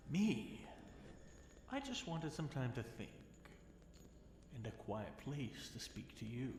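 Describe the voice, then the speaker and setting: A man performs a fallen dragon king in an ASMR fantasy roleplay, seductive and menacing. He speaks very slowly, drawing out his words and dipping into whispers, with a teasing, possessive air of command. The voice rings in a roomy echo over faint noise. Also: medium pitch. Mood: gleeful, wry.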